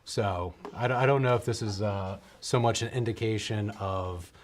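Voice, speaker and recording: A man with a fairly low voice chats as a co-host. His talk is casual and teasing, with self-deprecating jokes. There is faint noise and some clicking behind him. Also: moderate pace. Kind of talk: casual banter. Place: live show set.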